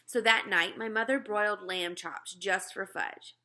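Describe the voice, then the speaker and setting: Bright-voiced woman reading a children's book. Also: mid-range voice, steady tone, unhurried. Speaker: read-aloud narrator. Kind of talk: expressive reading of a children's novel.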